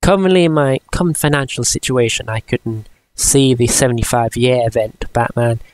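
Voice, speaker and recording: A man of twenty-one giving a casual, chatty comics review for a vlog, rambling and often halting mid-sentence. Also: medium pitch, no echo, rough recording. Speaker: vlogger.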